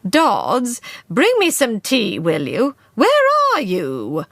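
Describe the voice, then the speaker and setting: A woman's voice: fairly low pitch, expressive, moderate pace, a bit bright, dry recording. A haughty upper-class older lady, domineering and imperious in every order she gives, as a woman reader puts her on. Her speech is commanding and clipped, in a dry close recording.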